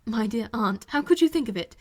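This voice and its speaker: A woman voicing a lively, witty young gentlewoman in an audiobook, speaking in an arch, emphatic way. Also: on the high side, brisk. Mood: teasing, indignant. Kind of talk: audiobook character voice.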